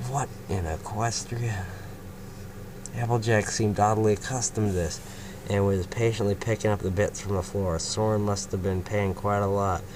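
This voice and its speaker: Lowish guy reading fanfic out loud, laid back, some hiss behind him. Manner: casual, breaks off to laugh and comment. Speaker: fanfiction reader. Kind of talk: first-person story reading.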